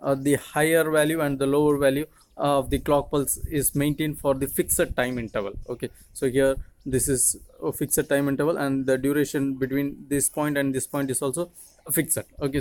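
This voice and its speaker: A man in his twenties, a native Tamil speaker with an Indian accent, giving an explanatory lecture in a halting, repetitive way. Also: on the high side, no room sound. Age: 20s. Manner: halting, repetitive, frequently checking with "okay". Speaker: teacher, video channel host.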